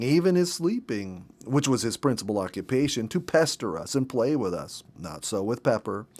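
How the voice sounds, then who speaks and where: A middle-aged man reads a nature story aloud. His mid-range voice rises and falls freely as he narrates, and he paces phrases deliberately, leaning on key words for dramatic effect. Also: mid-range voice, darkish tone. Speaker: storybook reader. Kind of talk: expressive read-aloud narration of a nature memoir.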